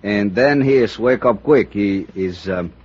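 A husky, halting Mexican cop. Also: male voice, on the low side. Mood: earnest, inquiring. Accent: Mexican Spanish. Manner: halting broken English mixed with Spanish, deferential. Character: earnest, polite Mexican police officer, halting English. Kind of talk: 1950s radio drama acting. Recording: muffled old radio recording.

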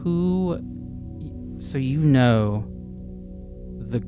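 A young man improvising dialogue as a player in a tabletop game, questioning in a hesitant, halting way. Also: mid-range voice, animated. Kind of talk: improvised in-character dialogue in everyday voice. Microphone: close podcast microphone on stand. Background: instrumental music.

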